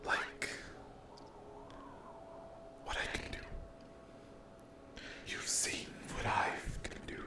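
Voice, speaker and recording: Man voicing a gravelly whispering cruel demon. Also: medium pitch, exaggerated voice. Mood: taunting menace. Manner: slow, taunting, drawn-out phrases with mock-sweet endearments. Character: cruel, mocking sleep paralysis demon, hungry, menacing, possessive. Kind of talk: whispered ASMR horror roleplay.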